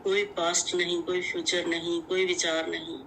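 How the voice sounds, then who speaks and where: A woman leads a guided meditation in measured, deliberate, instructive short phrases. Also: on the low side, flat delivery, a bit bright, a little hiss or hum, low-quality recording.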